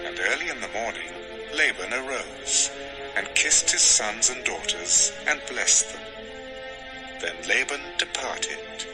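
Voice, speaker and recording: A man's voice: lowish voice, lively intonation, takes it slowly, over music, low-quality recording. A man narrating a dramatized scripture story in a scripted storytelling style.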